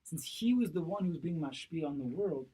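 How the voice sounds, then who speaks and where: A man's voice: a little high, animated, fairly quick, dark tone, husky, rough recording. A man in his thirties, a rabbi teaching a text class, asking questions and answering them himself while repeating key phrases. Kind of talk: Torah class, explaining a text. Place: small synagogue study room, seated at a table. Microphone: camera a few feet away across the table.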